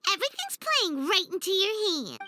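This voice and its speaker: A woman voicing a tiny, childlike fairy companion in a video game, squeaky, chirpy and naive. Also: very animated, normal speed, bright, forceful tone, a little hiss or hum, heavily acted. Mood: chirpy, eager. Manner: childlike, refers to self in third person. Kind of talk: cartoonish video game acting. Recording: music behind the voice.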